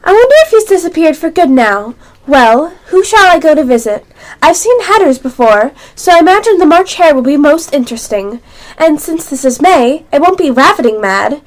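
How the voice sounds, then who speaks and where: A woman's voice: high-pitched, very animated, normal speed, low-quality recording. A female amateur actor playing a curious, polite but easily exasperated little girl in a radio play.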